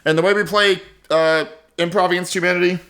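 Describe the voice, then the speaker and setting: A man's voice: sits high, steady tone, brisk, a bit bright. A man co-hosting an improv comedy podcast in a casual, bantering, conversational way. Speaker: podcast co-host.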